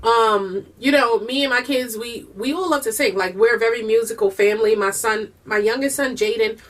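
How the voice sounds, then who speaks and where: A woman chatting casually while eating, talking fast with a Southern US and Puerto Rican-tinged accent and slipping into bits of song. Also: on the high side, distant mic. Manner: breaks into bursts of singing. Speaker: vlogger and mother.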